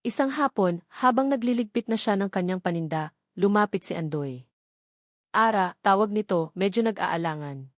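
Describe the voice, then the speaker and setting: A woman's voice: medium pitch, lively intonation, normal speed, dry recording. Woman narrator, close dry sound. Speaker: storyteller narrating a love story. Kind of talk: scripted dramatic narration.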